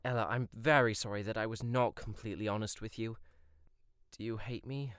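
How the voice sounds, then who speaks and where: A man's voice: fairly low pitch, fairly even intonation, fairly quick, a bit bright, slight background noise, natural voice. A man playing a courteous, earnest young prince in an amateur fairytale audio drama, polite and slightly formal.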